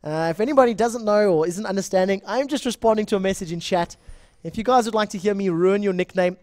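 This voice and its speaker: A man's voice: high voice, fast, darkish tone, dry recording. A young man with a South African accent casting a live online game, talking in a chatty, rambling way, correcting himself and greeting viewers from the chat. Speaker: esports shoutcaster.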